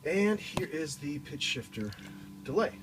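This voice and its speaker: A man giving a casual, explanatory demonstration of a guitar effects pedal in a halting way, as a teacher would. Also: fairly high pitch, sing-song, poor audio. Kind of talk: casual product demo.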